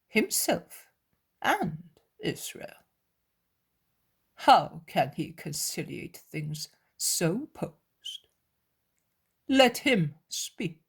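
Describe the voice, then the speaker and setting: A stern elder quiets the room in a low, unhurried voice that sinks softer as he goes, as a woman reads him. His tone is measured and calming. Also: husky, heavily acted. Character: stern male elder turned peacemaker.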